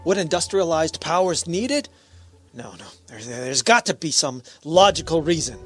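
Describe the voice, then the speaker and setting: A man's voice: fairly high pitch, very animated, moderate pace. Man acting a stern spaceship captain, music underneath. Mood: tense, commanding. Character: stern, skeptical spaceship captain, insistent on logic. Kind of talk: radio drama acting.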